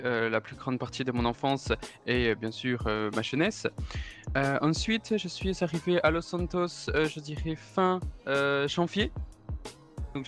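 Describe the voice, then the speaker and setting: A man's voice: medium pitch, a bit bright, lightly acted. A man in his thirties roleplaying a German real estate agent who loves parties, speaking with a German accent in a formal, hesitant way full of fillers and German interjections. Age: 30s. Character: 33-year-old German man, suave real estate agent and party lover.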